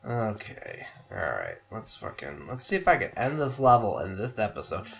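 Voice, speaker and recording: Animated man swearing his way through gameplay. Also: lowish voice, moderate pace, vocal fry. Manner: rambling, joking, heavy swearing. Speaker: let's play host playing a video game. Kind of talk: casual chat and gameplay commentary. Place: living room couch, indoors.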